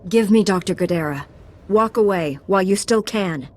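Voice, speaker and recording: A woman's voice: mid-range voice, normal speed, close to an everyday voice. Woman playing a firm, steadfast Jedi knight. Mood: defiant. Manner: firm, earnest, assured.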